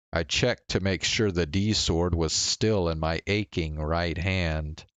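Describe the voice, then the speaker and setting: A man with a very low voice narrates a visual novel in the first person. His reading is dramatic and deliberate, moving along at a slow pace. Music plays clearly behind him. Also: a bit bright, dry recording.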